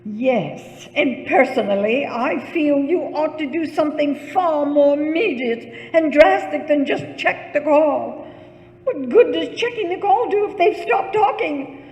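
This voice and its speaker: High-pitched fretful invalid wife played by an actress. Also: female voice, lively intonation, unhurried, a little mellow, dull audio. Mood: insistent. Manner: fretful, imperious, stammering when rattled. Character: anxious, demanding bedridden invalid wife, easily panicked. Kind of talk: suspense radio play acting. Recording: echoey hall with long reverb.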